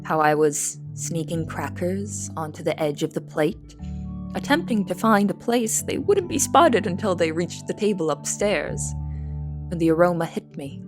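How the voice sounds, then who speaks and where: A young woman playing a reserved, proud cook of nineteen, speaking in a measured, formal, literary manner in an audio drama. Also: lowish voice, lively intonation, music in the background. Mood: intense, observant. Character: reserved, proud, observant 19-year-old female cook. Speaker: voice actor and narrator. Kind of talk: dramatic first-person scripted narration.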